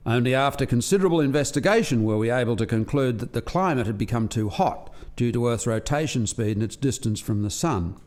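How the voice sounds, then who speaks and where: A man's voice: mid-range voice, expressive, fairly quick, few pauses, darkish tone, natural voice. A man playing an earnest alien scientist who explains experiments to colleagues in formal, articulate language in a radio play. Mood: matter-of-fact, explanatory.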